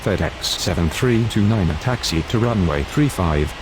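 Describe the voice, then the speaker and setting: A low male voice delivers clipped aviation radio calls in standard phraseology. The recording is clearly noisy, with hiss under the words. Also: lively intonation, unhurried. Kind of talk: air traffic control radio phraseology.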